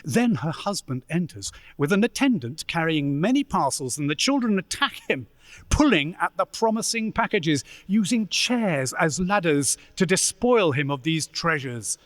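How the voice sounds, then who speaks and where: A man narrating a radio play as dramatic, deliberate storytelling with suspenseful pauses. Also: fairly high pitch, very animated, a bit bright, no room sound. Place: live stage performance in a church.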